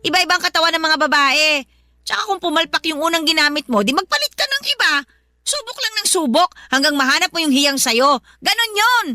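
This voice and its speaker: Shrill, scornful Filipino mother-in-law. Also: lively intonation, a little fast, bright, forceful tone, dry recording, full character voice. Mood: scolding. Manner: sarcastic, scolding, accusatory. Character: scornful, nagging middle-aged mother-in-law. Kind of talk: radio drama acting.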